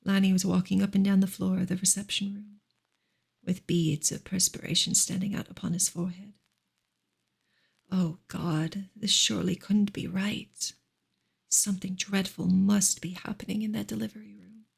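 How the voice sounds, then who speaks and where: A woman reads literary prose in a soft ASMR style, her voice heavy with vocal fry and breathy around the edges. The intonation stays fairly even and gentle, slipping at times toward a whisper as she narrates in measured phrases. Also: mid-range voice. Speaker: ASMR reader and channel host. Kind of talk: soft ASMR reading of a novel.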